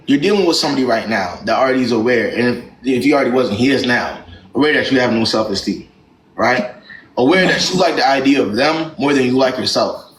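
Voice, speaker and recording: A man's voice: medium pitch, fairly bright tone, echoey room, slight background noise, poor audio. A young man hosting a live stream who gives callers blunt, slangy relationship advice in a lecturing way.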